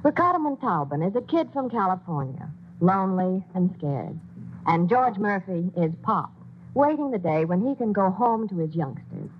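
A woman's voice: medium pitch, big pitch swings, normal speed, slight background noise. A woman giving a chatty, persuasive scripted ad spot on an old radio show, heard as a tinny broadcast. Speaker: commercial spokeswoman.

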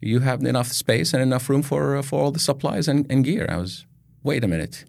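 A man from Iraq telling his story as an interview guest, in halting phrases with frequent pauses. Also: medium pitch, vocal fry, darkish tone, no echo.

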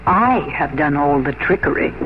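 Lively, scheming older aristocratic lady. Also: sits low, normal speed. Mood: wry coaxing. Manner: arch, theatrical, commanding. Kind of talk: 1950s radio drama acting. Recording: dull old radio, faint noise.

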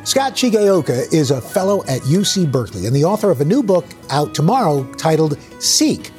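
A man's voice: fairly high pitch, very animated, normal speed, darkish tone, faint music behind. A man co-hosting a morning talk show, upbeat, and stumbling over restarts when he asks questions. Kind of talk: broadcast talk.

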